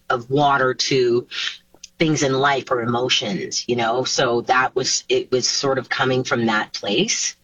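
A woman, a singer taking part in an interview over a video call, answering casually with animated pitch swoops and many restarts. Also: slightly rough, dry recording. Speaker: singer, guest. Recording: dull, dry video call audio.

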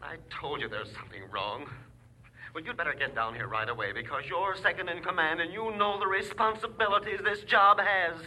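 An actor playing a fretful, agitated young ranger office deputy in a radio adventure drama. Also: male voice, fairly high pitch, very animated, moderate pace, sharp tone, slight background noise, full character voice, poor audio. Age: young adult. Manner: agitated, fussy, blurting.

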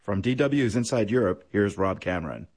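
A man presenting a news program, introducing a report in a measured, formal delivery. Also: fairly low pitch, lively intonation.

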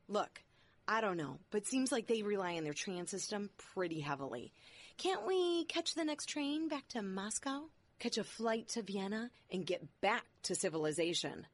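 A woman's voice: medium pitch, fairly bright tone. A woman voicing an exasperated young American bride on her honeymoon, speaking bluntly and sarcastically. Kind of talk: audio drama acting.

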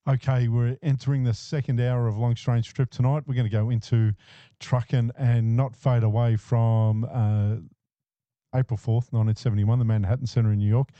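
A man's voice: mid-range voice, level tone, normal speed, warm tone, no room sound. A male radio DJ, chatting easily between songs with lots of uh and um fillers. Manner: easygoing, frequent uh and um fillers. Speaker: radio host. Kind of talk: casual radio DJ patter. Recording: dull lo-fi radio broadcast.

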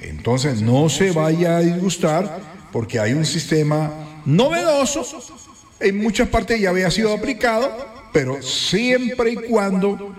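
A man hosting a morning radio show, chatting and giving listeners advice in a playful, animated way. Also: high voice, a little slow, echoey room. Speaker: radio host.

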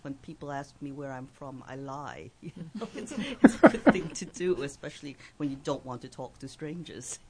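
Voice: mid-range voice, normal speed, few pauses, slight background noise. A fiction writer who came to the US from abroad, talking playfully on a panel and laughing often. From outside the US. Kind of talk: conversational panel talk.

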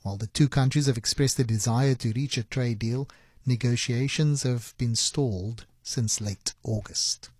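A man's voice: medium pitch, a little mellow, no echo, studio quality. Formal male radio newsreader. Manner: measured, formal. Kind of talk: radio news bulletin.

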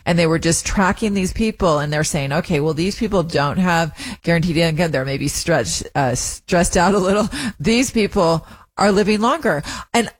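A woman hosting a finance talk show, chatty and quick to laugh, explaining research at length. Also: on the low side. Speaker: host. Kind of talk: casual radio chat.

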